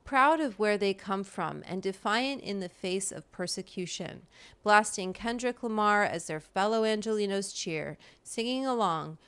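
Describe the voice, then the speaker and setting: A woman host with a smooth, clean voice in a middle register reads from a written piece. Her delivery is emphatic and impassioned, like someone presenting an argument she means, with brief spoken asides. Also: medium pitch, lively intonation, unhurried, a bit bright. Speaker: host reading an article aloud. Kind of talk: reading a written political essay aloud with brief asides.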